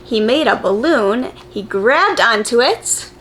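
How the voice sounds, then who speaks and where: A woman with a fairly high voice reads a children's story aloud. Her delivery is very animated and expressive, with simple, encouraging phrasing aimed at preschoolers. There is faint noise behind her voice. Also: slow pace. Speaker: preschool teacher reading picture books aloud. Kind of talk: children's story read-aloud with questions to young viewers.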